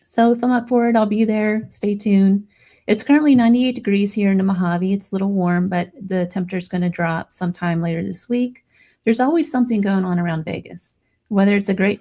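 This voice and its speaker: A woman hosting a live paranormal radio show, hoarse and losing her voice, chatting excitedly with her listeners. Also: mid-range voice. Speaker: radio podcast host. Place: home studio near Las Vegas.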